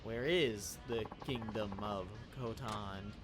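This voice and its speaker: A man's voice: on the low side, takes it slowly. A man reading aloud the lines of a stoic middle-aged male ex-yakuza taxi driver, with dry, wry asides, over game music.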